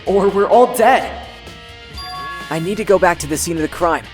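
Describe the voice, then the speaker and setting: A man's voice: high-pitched, moderate pace, natural voice. Guy playing earnest anguished teen boy, introspective anime dub monologue, echoey, guitar music behind. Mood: somber, determined. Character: earnest, anguished teenage boy high-school student. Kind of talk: anime game fandub, dramatic monologue.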